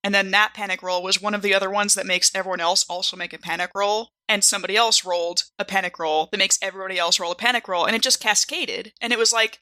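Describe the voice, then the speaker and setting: Very bright-voiced woman in casual podcast chat. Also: mid-range voice, fairly even intonation, fast, hardly any pauses, no echo. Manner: informal, sprinkles speech with "like". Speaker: podcast cast member and player.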